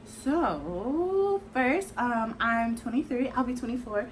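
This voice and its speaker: A woman's voice: on the high side, lively intonation, slow, a little hiss or hum, low-quality recording. A woman in her twenties talking casually to camera in a chatty, rambling way, filling her speech with "like" and "kinda". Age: 20s. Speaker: vlogger.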